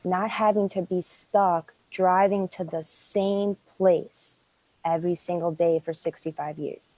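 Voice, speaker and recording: A woman's voice: fairly low pitch, fairly even intonation, measured pace, a little mellow, no room sound, poor audio. A woman, a native Greek speaker, talking earnestly in a casual interview, with many fillers and restarts. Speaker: interview guest.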